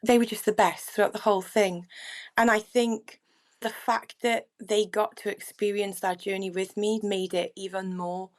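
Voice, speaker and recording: A middle-aged woman speaks as a podcast guest, at an ordinary pitch for her age. She tells her story earnestly and reflectively, in a relaxed, conversational way. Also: moderate pace. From Wales. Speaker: guest singer-songwriter. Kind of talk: casual interview chat.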